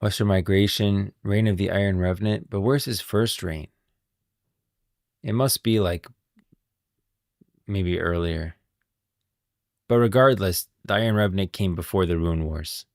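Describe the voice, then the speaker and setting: Very deep-voiced man, close and dry. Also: unhurried, pauses often, dry recording. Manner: rambling, thinking aloud, repeating himself. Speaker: streamer, host. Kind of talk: casual live commentary on game lore.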